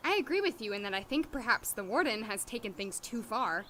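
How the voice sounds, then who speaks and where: A woman's voice: a little high, very animated, a little fast, bright, forceful tone, a little hiss or hum. A woman playing a blunt, idealistic doctor in an audio drama, with natural, conversational delivery. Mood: earnest.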